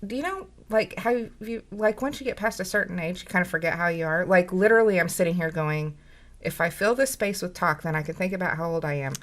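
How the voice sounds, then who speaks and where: A woman's voice: lowish voice, fairly quick, a bit bright. A woman in her forties co-hosting a casual chat about motorcycles, joking and poking fun at herself. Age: 40s. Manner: self-mocking, joking, rambling. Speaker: co-host.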